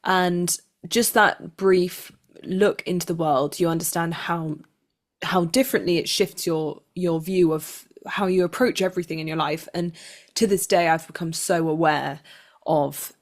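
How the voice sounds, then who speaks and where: A woman's voice: fairly low pitch, steady tone, measured pace. A woman speaking as an actor being interviewed, answering in a reflective, articulate and conversational way.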